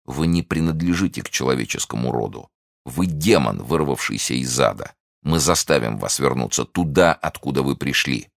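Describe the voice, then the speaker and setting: A stern, cold-blooded nobleman, read by a male narrator, speaks in a commanding tone. The voice is very deep with a gravelly grain. The sound is dry and close. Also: expressive, normal speed, no echo, exaggerated voice.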